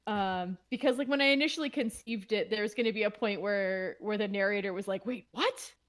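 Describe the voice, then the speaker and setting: A woman's voice: medium pitch, a little fast. A woman who writes the show, chatting casually in a Q&A with many fillers and restarts. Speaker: podcast writer. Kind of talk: casual Q&A chat.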